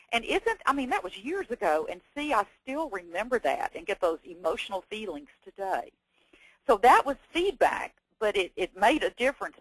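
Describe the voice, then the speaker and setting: A woman's voice: mid-range voice, fairly bright tone, husky, dry recording, poor audio. An older woman from Texas with a Southern accent, giving an educational webinar in a folksy, chatty way with self-deprecating humor. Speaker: webinar presenter, former teacher.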